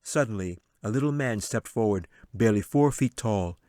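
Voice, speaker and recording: A man reads a fantasy story aloud in a low voice, recorded close and dry. His delivery is dramatic and clearly scripted, in the manner of a storybook narrator. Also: animated, moderate pace, dark tone, dry recording. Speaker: author reading his audiobook.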